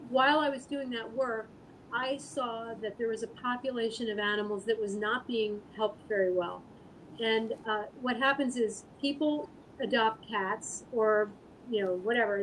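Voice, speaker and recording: A woman speaking as an interview guest, answering casually in an earnest, halting, thoughtful way. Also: on the high side, fairly even intonation, fairly bright tone, slight background noise, low-quality recording. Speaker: guest artist and animal rescue volunteer.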